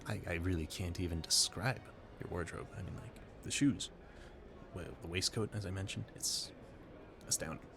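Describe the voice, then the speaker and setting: Man acting a flustered, low-voiced romantic gentleman. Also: normal speed, noisy background. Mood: admiring, flustered.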